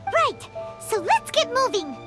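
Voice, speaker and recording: A woman voicing a tiny, excitable, naive fairy girl companion in a video game, with a squeaky childlike voice. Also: slow, loud music behind the voice, exaggerated voice. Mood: eager, surprised.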